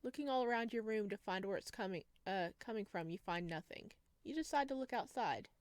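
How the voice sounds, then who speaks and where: A woman's voice: mid-range voice, a little fast, no room sound. A woman doing a let's play, reading visual novel narration aloud and dropping in chatty, casual asides. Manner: chatty asides, reacts to the story. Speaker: video game streamer.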